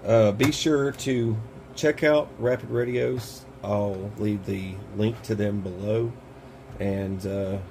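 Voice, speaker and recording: A man's voice: lowish voice, steady tone, slow, darkish tone, a little hiss or hum. An American man hosting a casual product demo, talking in a folksy, informal, rambling way. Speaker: host of a prepper gear channel. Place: small cluttered indoor room.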